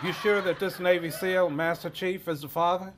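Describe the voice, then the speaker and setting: An actor playing a stern, sardonic family court judge in a filmed courtroom drama, with noisy room sound. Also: male voice, sits high, fairly even intonation, moderate pace, lightly acted.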